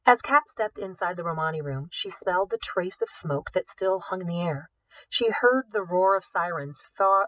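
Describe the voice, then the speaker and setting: A woman reading a story aloud in an expressive, scripted narration style. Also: fairly low pitch, no echo, rough recording. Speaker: audiobook reader, teacher.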